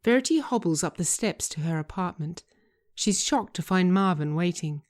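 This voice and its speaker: Woman narrating a script, expressive pitch. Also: normal speed. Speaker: writer and narrator.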